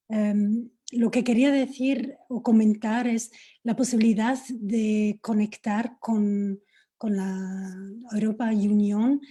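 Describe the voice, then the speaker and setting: A woman with a very dark, soft-edged tone speaks slowly on an online panel. She is not a native Spanish speaker and searches for words, sometimes mixing in English. Her pitch is average. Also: husky. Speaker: panel participant.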